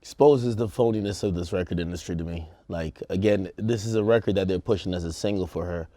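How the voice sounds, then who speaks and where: A man's voice: low voice, a little fast, warm tone, no echo. Man in slangy, dry-sounding music talk. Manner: conversational, slangy, thinking aloud. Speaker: co-host of a music news show. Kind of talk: casual panel discussion, slangy commentary.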